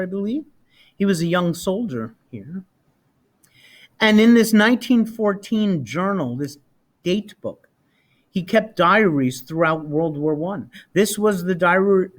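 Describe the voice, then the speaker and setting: A man reading a children's picture book aloud expressively, with deliberate, dramatic emphasis on key words. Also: high voice. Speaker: storybook reader.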